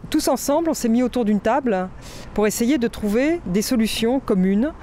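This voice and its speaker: A woman makes an earnest statement to an interviewer in a high voice. Traffic noise is clearly heard behind her. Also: animated, normal speed, mellow.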